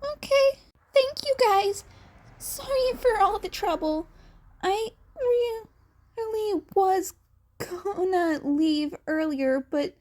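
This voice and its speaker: A woman's voice: very high voice, lively intonation, extremely slow, a little mellow, pitched above the performer's own voice, full character voice. A woman voicing an anxious, tearful teenage boy who stammers and gets flustered, in a fan fiction reading. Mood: tearful, apologetic.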